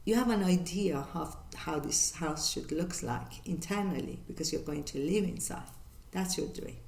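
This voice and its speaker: An older woman from northern Iran with a Persian accent, hosting her channel and answering viewer questions in an earnest, measured way with many pauses. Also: deep voice, creaky, a little mellow, echoey room. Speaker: channel host. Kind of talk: reflective Q&A monologue.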